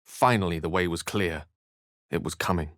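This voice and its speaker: An actor playing an eloquent, theatrical and wry human wizard in a fantasy video game, with articulate, literary phrasing. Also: male voice, low-pitched, moderate pace, fairly bright tone, no room sound, studio quality. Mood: solemn.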